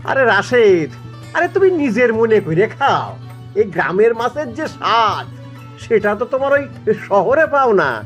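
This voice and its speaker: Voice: medium pitch, big pitch swings, normal speed, a bit bright. Performer acting an indulgent village father-in-law. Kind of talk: dubbed cartoon family story dialogue. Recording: music behind the voice.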